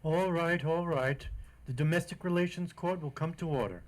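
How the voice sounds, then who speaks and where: A man's voice: a little high, normal speed, lightly acted, low-quality recording. A man acting a pompous, formal court judge in an old-time radio comedy. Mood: pompous, officious. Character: pompous, platitude-spouting domestic court judge.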